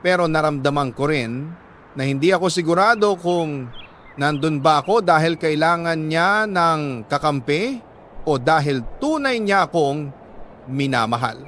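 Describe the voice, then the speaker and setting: A Filipino man reads a listener's letter as a radio storyteller, narrating in the first person with measured, emotive phrasing and deliberate pauses. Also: on the high side, animated, a bit bright, a little hiss or hum.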